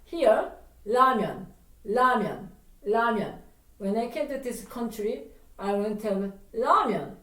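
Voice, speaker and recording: Animated Korean-accented woman teaching pronunciation. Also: on the high side. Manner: animated, repeats words slowly and drills them. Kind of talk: lively pronunciation lesson. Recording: roomy sound from a distant mic.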